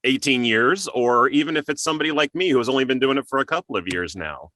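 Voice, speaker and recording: A man's voice: mid-range voice, expressive, fast talker, sharp tone, no room sound. A man hosting an interview in a casual, conversational style, asking questions and adding his own comments. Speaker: interview host, voice actor.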